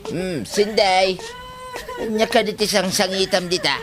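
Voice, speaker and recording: An actor playing a hot-headed old father in a comedy drama, scolding and firing sharp questions. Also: high voice, steady tone, unhurried, background noise. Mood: puzzled questioning.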